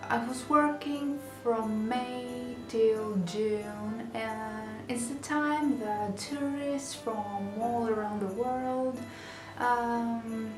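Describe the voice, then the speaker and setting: A young woman with a Greek accent, chatting to camera in a casual vlog with many fillers and restarts. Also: a little high. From Greece. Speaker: vlogger. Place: small bedroom indoors. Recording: roomy echo, music behind. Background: background music.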